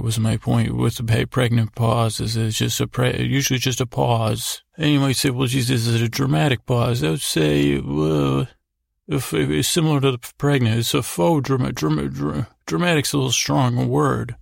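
A man with heavy vocal fry talks in an even, limited pitch range, recorded close and dry. He meanders through improvised bedtime chatter, stammering and repeating words as a podcast host. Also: normal speed, no room sound. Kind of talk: rambling bedtime monologue, improvised nonsense.

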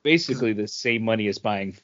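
A man's voice: on the low side, a little fast, fairly bright tone. A man co-hosting a podcast, giving opinionated but qualified takes in a casual, conversational way. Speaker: podcast co-host. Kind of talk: casual beer review and chat. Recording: dull, band-limited audio.

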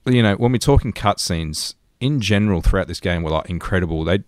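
A man's voice: deep voice, animated, a little fast, no room sound, clean studio sound. A man in his thirties with an Australian accent, co-hosting a podcast and telling stories in a casual, chatty way. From rural New South Wales, Australia. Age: 30s. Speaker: podcast co-host. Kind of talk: casual storytelling chat.

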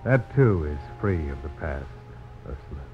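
An actor playing a weary, resolute frontier adventurer in a grave, measured 1950s radio drama style. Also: male voice, very deep voice, sing-song, faint music behind. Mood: tender. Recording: muffled, noisy old radio broadcast recording.

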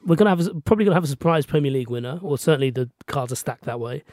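A man's voice: a little high, lively intonation, racing, darkish tone, no room sound, studio quality. A man from England with an English accent, co-hosting a football podcast in casual, jokey chat.

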